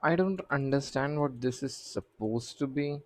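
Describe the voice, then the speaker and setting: A man's voice: medium pitch, normal speed, no echo. A man chatting casually on a game livestream, thinking aloud in a hesitant, puzzled way. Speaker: game streamer. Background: faint game music.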